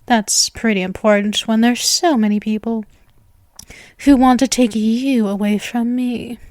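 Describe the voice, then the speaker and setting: Woman acting a slow, sweetly menacing vampire girlfriend. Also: fairly high pitch, a little mellow, no echo, clean studio sound. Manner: slow, coy, cooing endearments with threatening possessiveness. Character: possessive centuries-old yandere vampire girl, sweetly menacing lover. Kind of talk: ASMR roleplay, intimate scripted monologue.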